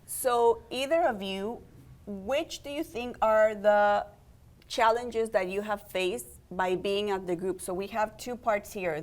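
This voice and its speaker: A woman's voice: mid-range voice, darkish tone. A young adult woman hosting a community TV interview, friendly and upbeat, guiding her guests with questions. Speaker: host and program organizer.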